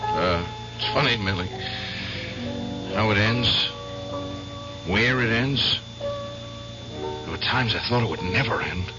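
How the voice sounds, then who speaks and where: An actor playing a weary, guarded bar musician in a seventies radio drama, speaking in a halting, deliberate way. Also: male voice, on the low side. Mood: brooding, guarded. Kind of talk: 1970s radio drama acting. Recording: dull lo-fi radio broadcast, loud music.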